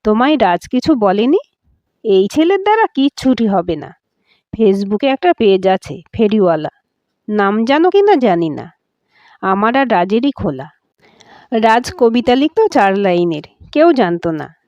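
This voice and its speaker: A woman voicing a groom's chatty young male friend in an audio story, talking in an animated, teasing way. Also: medium pitch, measured pace, dry recording, lo-fi sound. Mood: animated, admiring.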